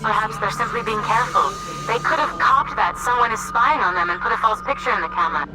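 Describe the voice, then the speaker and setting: A woman's voice: on the high side, fast talker, music in the background, natural voice, poor audio. A woman playing a shrewd, scornful colonist in a sci-fi video game, with a pointed, sardonic delivery. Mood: suspicious, scornful. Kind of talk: video game cutscene acting.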